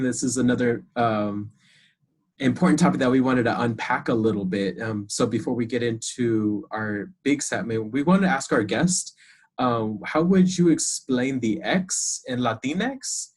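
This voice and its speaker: A man co-hosting a podcast over a video call, upbeat and chatty, with frequent fillers. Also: medium pitch. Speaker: co-host.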